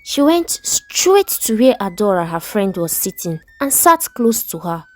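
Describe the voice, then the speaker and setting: A woman's voice: medium pitch, big pitch swings, a little slow. A woman with a Nigerian accent narrating a scripted, dramatic story as a storyteller.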